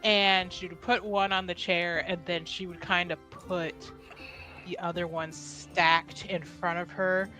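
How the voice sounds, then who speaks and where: A woman's voice: lowish voice, little pitch movement, takes it slowly, pushed and bright, faint music behind, poor audio. A woman playing in a tabletop game, describing her character's actions in a chatty, rambling way and laughing often. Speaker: player. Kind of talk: casual tabletop game play.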